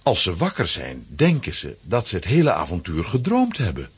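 A man with a husky voice narrating a children's audio play as a dramatic scripted story. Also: deep voice, wildly swooping pitch, moderate pace.

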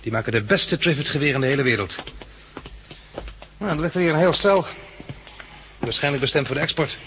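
A man's voice: a little high, brisk, a bit bright. A man acting a practical, decisive survivor of a catastrophe in a 1960s Dutch radio drama, explaining things in an instructive way. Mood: brisk, instructive. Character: practical, knowledgeable male survivor of a plant catastrophe. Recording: noisy 1960s radio broadcast recording.

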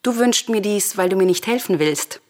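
An actress playing a proud, defiant, grieving Greek princess in a solemn radio drama, speaking in formal, declamatory verse. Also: female voice, medium pitch, lively intonation, moderate pace, darkish tone, no echo, clean studio sound, close to an everyday voice. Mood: defiant, resolute.

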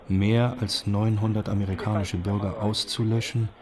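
Low-pitched man reading a documentary script. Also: little pitch movement, moderate pace, crowd noise.

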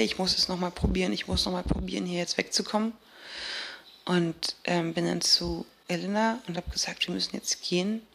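Eine Schauspielerin spielt in einem Hörspiel eine junge Frau, die stockend und umgangssprachlich einen seltsamen Traum erzählt und dabei nach Worten sucht. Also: female voice, on the low side, creaky, fairly bright tone. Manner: halting, colloquial, with fillers and self-corrections. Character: young woman recounting a strange dream, searching for words. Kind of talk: naturalistic radio drama monologue.

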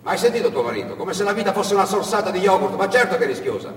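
A man's voice: high voice, big pitch swings, a bit bright, pitched above the performer's own voice. A man voicing a bossy, scornful friend who scolds in a string of pointed questions, in theatrical radio storytelling. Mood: scolding. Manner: hectoring, rapid rhetorical questions. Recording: echoey hall, distant mic, background noise.

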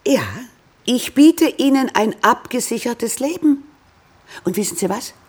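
A woman's voice: a little high, lively intonation, unhurried, darkish tone, slightly rough. A woman acting a guarded, defensive businesswoman in a radio drama. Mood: defiant. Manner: curt, defensive.

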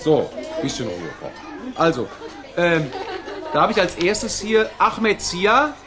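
A man playing a flustered, halting aid worker who keeps calling children to attention in a naturalistic radio drama. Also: high voice, sing-song, exaggerated voice. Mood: flustered, insistent. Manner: halting, repeatedly calls for attention. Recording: loud crowd of children and music. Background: noisy children and loud music.

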